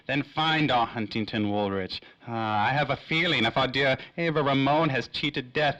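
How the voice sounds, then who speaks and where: A man playing a menacing, theatrical crime boss with a grandiose, deliberate delivery in 1930s radio play style. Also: medium pitch, fairly bright tone, rough recording.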